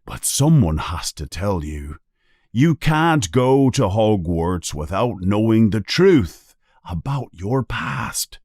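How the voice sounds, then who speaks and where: A man voicing a big gruff but kind-hearted giant in a storybook reading, growling low and slow. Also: big pitch swings, darkish tone, no room sound, studio quality, exaggerated voice. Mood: gruff, troubled.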